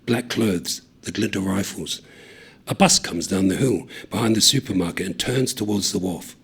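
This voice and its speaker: A man reads a short story in a steady, low-key way. His voice sits lowish and is notably husky and breathy throughout.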